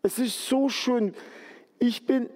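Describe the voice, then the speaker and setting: High-voiced man preaching in echoing hall. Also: takes it slowly, darkish tone. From Turkey. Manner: earnest, conversational, pleading at times. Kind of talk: sermon.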